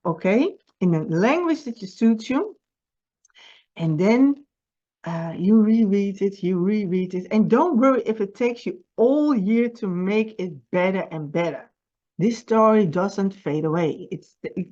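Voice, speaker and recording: A woman's voice: a little high, muffled recording. Earnest Dutch-accented woman giving advice. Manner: earnest, halting, repeats words and restarts. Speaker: publisher. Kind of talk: personal video message with writing advice.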